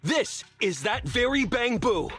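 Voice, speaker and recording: A man playing an indignant, accusing delivery truck driver in a video game, with a husky voice. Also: extremely high pitch, big pitch swings, a little slow, a bit bright, soft background music, full character voice. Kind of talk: video game character acting.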